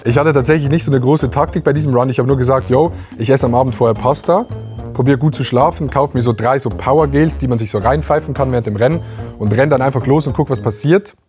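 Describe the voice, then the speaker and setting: A man's voice: medium pitch, quick pace, faint music behind. A man in his twenties talking casually to camera in a loose, slangy vlog style. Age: 20s. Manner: loose, laid-back, slangy. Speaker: streamer.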